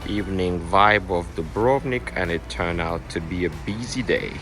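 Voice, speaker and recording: Deep-voiced Ukrainian man vlogging. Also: normal speed. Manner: chatty, slightly broken English, upbeat. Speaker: assistant cruise director vlogging. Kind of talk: casual vlog narration. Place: on a cruise ship, often on open deck. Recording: music playing behind the voice.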